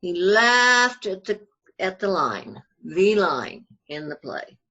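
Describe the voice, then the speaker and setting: An older woman being interviewed, telling family anecdotes conversationally in a halting but animated, storytelling manner, on muffled audio. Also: medium pitch. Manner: halting, animated retelling with acted-out quotes.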